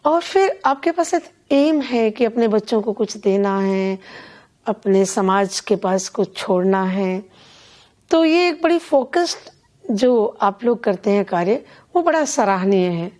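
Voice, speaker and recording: An older woman being interviewed as a poet and event organiser, speaking in a measured, earnest, deliberate way. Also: mid-range voice, lively intonation, a little mellow. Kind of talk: reflective conversational interview.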